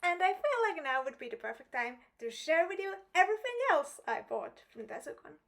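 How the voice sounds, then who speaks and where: A woman's voice: sits high, sing-song, brisk, a bit bright. A young woman narrating her convention vlog as a voice-over, bubbly and piling on "really" for emphasis. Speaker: vlogger.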